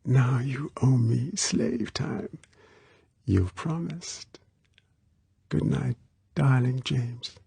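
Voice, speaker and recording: Man voicing a soft breathy coaxing young woman. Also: on the high side, fairly even intonation, unhurried, dry recording, voice pushed up, heavily acted. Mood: triumphant. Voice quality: small soft breathy voice, near whisper. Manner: pleading, intimate. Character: young woman, sweet, coaxing, childlike and stubborn.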